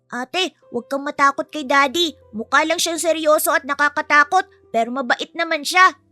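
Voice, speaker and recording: A woman's voice: high voice, normal speed, bright, forceful tone, no echo, soft background music, voice pushed up. A woman voicing a polite, earnest, sweet little boy in a dramatised story.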